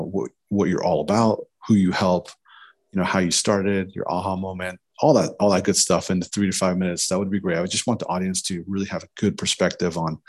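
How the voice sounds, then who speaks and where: A man hosting an interview show, upbeat and encouraging, prompting his guest with casual fillers. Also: deep voice. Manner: upbeat, encouraging, uses fillers like "you know".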